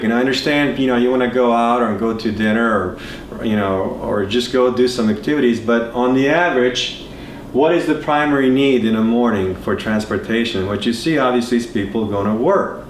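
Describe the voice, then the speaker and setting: 40s guy, rambly whiteboard explainer, echoey room w faint hiss. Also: medium pitch. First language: Serbo-Croatian. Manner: loose, rambling explanation with many restarts and asides. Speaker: entrepreneur explaining ideas. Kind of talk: whiteboard talk to camera. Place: indoor studio in front of a whiteboard. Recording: roomy echo, faint background hiss.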